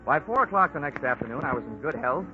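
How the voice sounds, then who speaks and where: A man's voice: a little high, lively intonation, fast talker. Brief: man, dry wisecracking radio detective narrator, mid voice, dull old broadcast with music under it. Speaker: insurance investigator and narrator. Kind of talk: 1940s radio acting.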